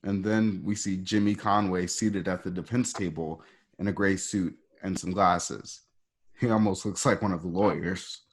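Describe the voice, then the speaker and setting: A man's voice: low-pitched, fairly even intonation, moderate pace, husky. A man reading scene directions as the narrator of a scripted drama.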